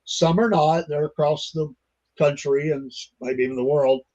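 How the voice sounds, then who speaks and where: An older man hosts a casual podcast chat, rambling along with plenty of fillers and folksy asides. His voice sits a little high for a man, and the recording sounds dull and lo-fi. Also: fairly even intonation, moderate pace, a little mellow. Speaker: podcast host.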